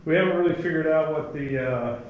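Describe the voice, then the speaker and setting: A man's voice: a little high, brisk, phone-quality sound. A man chatting casually as co-host of an outdoor hiking vlog, picked up by a handheld camera. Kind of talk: casual chat. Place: outdoors in bare woods and inside an open wooden shelter. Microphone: handheld 360 camera. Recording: windy, noisy outdoor camera audio.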